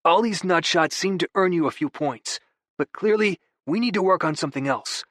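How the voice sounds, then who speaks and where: A bossy young brother who lectures with pointed, know-it-all confidence, as a male narrator puts him on. His voice is lifted well above the reader's own pitch. It is read dry and close for an audiobook. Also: moderate pace, fairly bright tone, no room sound. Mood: lecturing.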